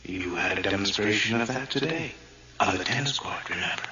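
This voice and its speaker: A man's voice: fairly low pitch, brisk, dull audio, a loud crowd, lightly acted. An actor playing a mocking, gloating dead twin who haunts his brother in a horror audio drama, taunting him and often dropping to a whisper. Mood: mocking, threatening.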